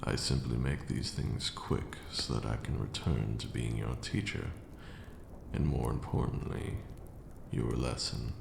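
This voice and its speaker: A man playing an ancient, haughty vampire lord and fond mentor in a slow, theatrical ASMR roleplay monologue, murmured in a deep, gravelly voice. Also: steady tone, roomy sound, background noise, full character voice. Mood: haughty, dismissive. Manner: theatrical, drawn-out, condescending.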